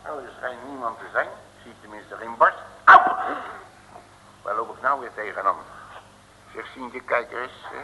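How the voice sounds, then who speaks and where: Comic radio-play detective: nervy, blustering, folksy grumbler, piercing forceful voice, old muffled reverberant recording. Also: male voice, mid-range voice, normal speed, pauses often, a little hiss or hum. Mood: bossy, wary. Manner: colloquial, excitable, grumbling.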